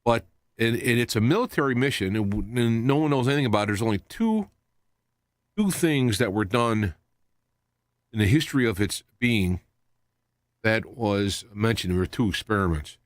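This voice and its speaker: Male talk radio host, low voice, dry close sound, halting and loosely improvised delivery. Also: animated, no echo. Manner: halting, loosely wandering from point to point. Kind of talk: rambling live talk show monologue.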